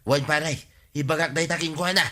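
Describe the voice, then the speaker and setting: A man's voice: on the high side, fairly even intonation, quick pace, sharp tone. A man playing an exasperated, scolding village husband in a comedy radio drama, nagging with an Ilocano accent.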